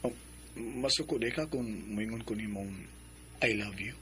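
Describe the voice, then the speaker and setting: A man playing a gentle, earnest young man courting a woman in a radio drama. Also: on the low side, normal speed, natural voice. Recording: radio drama with music bed.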